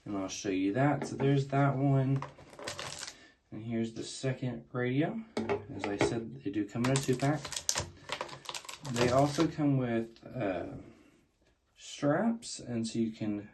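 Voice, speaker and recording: A man's voice: mid-range voice, slow pace, pauses often, noisy background. A man hosting a casual product unboxing, explaining things plainly, step by step. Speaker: unboxing video host.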